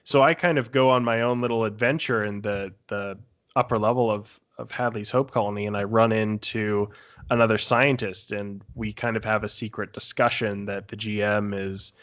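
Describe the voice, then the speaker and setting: A man's voice: fairly low pitch, steady tone, moderate pace, a bit bright. A man talking casually and informally, rambling through stories as a podcast panelist and swearing now and then. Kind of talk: casual chat recounting tabletop game sessions.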